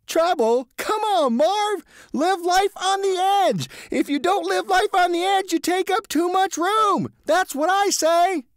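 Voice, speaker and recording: A man voicing himself as a reckless, cocky male thrill-seeker who talks brashly and excitably. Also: high-pitched, moderate pace, dry recording, clean studio sound, pitched above the performer's own voice. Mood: giddy.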